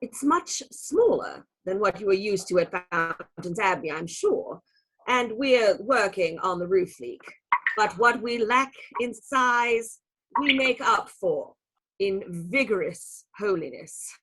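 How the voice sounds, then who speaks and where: An actress playing an earnest, proud and stern nun in a radio play, with a prim, formal, firm delivery. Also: female voice, mid-range voice, expressive, slow pace, fairly bright tone, husky, exaggerated voice, low-quality recording. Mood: earnest welcome.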